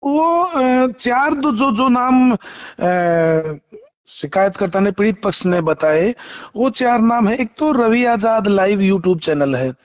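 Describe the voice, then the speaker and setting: Male lawyer, high-pitched, halting and polite explainer, lo-fi phone recording. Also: lively intonation. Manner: halting, repeats phrases, polite frequent acknowledgements. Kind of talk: phone interview, explaining a case. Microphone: phone call. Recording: muffled, lo-fi phone call recording.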